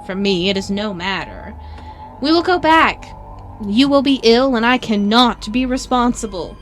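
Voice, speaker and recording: A woman acting in an audio drama as a scheming aristocrat, speaking formal archaic lines with measured pauses and feigned concern. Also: fairly high pitch, lively intonation, soft background music. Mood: falsely solicitous. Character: scheming aristocrat feigning solicitous friendship, secretly vengeful.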